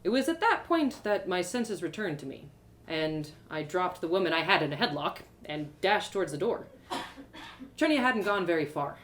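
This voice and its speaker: A woman reading a first-person adventure story aloud in a dramatic, expressive way. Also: fairly low pitch. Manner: dramatic, animated, with exclamations and sighs. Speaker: author reading her own story aloud.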